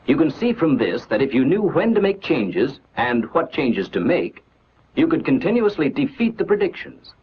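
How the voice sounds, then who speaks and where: A man narrating a scripted 1940s military training film in a measured, instructional, declarative manner on an old film soundtrack. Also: on the high side, very animated, dull audio, a little hiss or hum.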